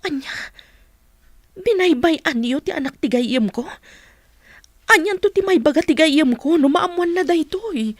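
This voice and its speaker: A woman's voice: high voice, expressive, measured pace, long pauses, no echo. A woman with an Ilocano accent playing an outspoken, protective family friend in a radio drama, earnest and emphatic. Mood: indignant. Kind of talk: Filipino radio drama acting.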